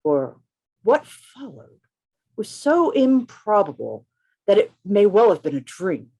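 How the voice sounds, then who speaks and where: Man acting a florid gentleman poet, lively pitch. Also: takes it slowly, husky, exaggerated voice. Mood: wistful narration. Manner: florid, formal, theatrical. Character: witty, chivalrous gentleman poet narrating his own adventure. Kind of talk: radio play reading, literary first-person narration. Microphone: video call. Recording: video call reading with music behind.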